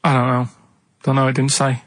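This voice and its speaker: A man's voice: mid-range voice, monotone. Rambling man telling a story on radio. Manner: rambling, vague, halting. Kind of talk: casual comic storytelling.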